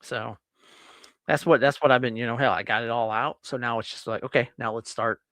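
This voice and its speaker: A man's voice: mid-range voice, steady tone, brisk, a bit bright, no echo. A man co-hosting a podcast, chatting casually from his home office in a rambling, laid-back way with casual swearing. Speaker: podcast co-host. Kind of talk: casual chat.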